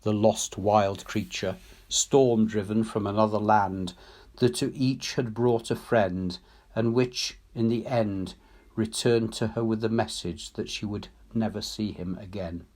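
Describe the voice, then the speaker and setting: A man reading literary fiction aloud as a storyteller, in a measured, solemn manner, phrase by phrase. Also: fairly low pitch, steady tone, a little mellow. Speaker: story reader, teacher.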